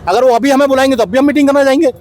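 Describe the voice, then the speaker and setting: A man's voice: very high voice, fairly even intonation, racing, darkish tone. A union president, insistently answering a reporter's questions at an outdoor protest, repeating his points. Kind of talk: on-the-spot protest interview. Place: outdoor protest site by a street.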